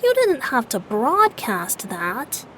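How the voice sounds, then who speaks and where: A woman's voice: fairly high pitch, huge pitch swings, takes it slowly, a bit bright, a little hiss or hum, heavily acted, rough recording. A woman voicing a playful, teasing young male bard in a video game dub, light and mischievous. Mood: playful, coy. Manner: light, breezy, mischievous, sometimes whispering.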